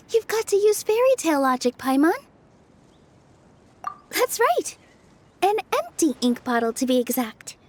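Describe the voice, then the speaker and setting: A woman playing a gentle, earnest and thoughtful young dancer in a fairy-tale game. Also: high voice, sing-song, takes it slowly, long pauses, slight background noise, full character voice. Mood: bright, helpful. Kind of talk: English game dub voice acting.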